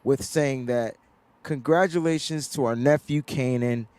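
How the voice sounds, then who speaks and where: A middle-aged man hosting a casual sports talk livestream, loud and animated, laughing often, into a desk microphone in a home studio. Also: medium pitch, a little slow, clear, resonant tone, dry recording. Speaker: sports show host.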